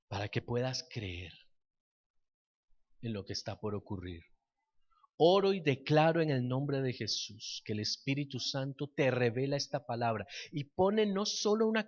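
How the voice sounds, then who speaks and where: A man preaching a fervent sermon that turns into a declared prayer, repeating words as he builds to loud peaks. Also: mid-range voice, muffled recording. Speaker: pastor.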